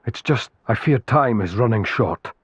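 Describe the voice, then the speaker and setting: A man's voice: mid-range voice, expressive, normal speed, breathy edge, no echo, highs cut off. A man playing a dutiful royal adviser in a video game, formal and deferential. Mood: concerned. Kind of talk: dubbed video game cutscene acting.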